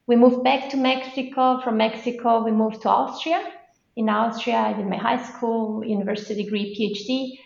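A woman from Bosnia, an assistant professor, talking in a measured, detailed way as a podcast guest. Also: a little high, steady tone, gravelly. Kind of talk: podcast interview. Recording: roomy, dull lo-fi audio.

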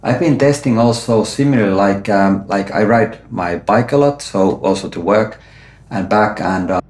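A man's voice: fairly low pitch, moderate pace, a little mellow. A middle-aged man hosting an interview, talking hesitantly with many fillers and restarts, speaking English as a non-native. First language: not Swedish; Finnish-sounding name, origin unstated. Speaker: podcast host. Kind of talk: conversational interview. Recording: roomy, echoey sound.

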